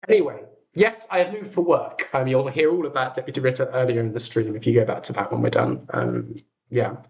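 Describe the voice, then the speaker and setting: Animated, whimsical British man chatting casually. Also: medium pitch, a little fast, creaky, poor audio. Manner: enthusiastic, whimsical. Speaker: livestream host. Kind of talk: casual chat to viewers.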